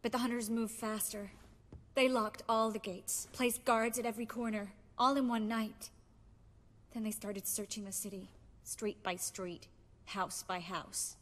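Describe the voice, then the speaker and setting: An actress playing an earnest young sorceress and weary resistance leader in a dramatic video game. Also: female voice, a little high, fairly even intonation, unhurried, a bit bright, big-room sound. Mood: urgent, worried.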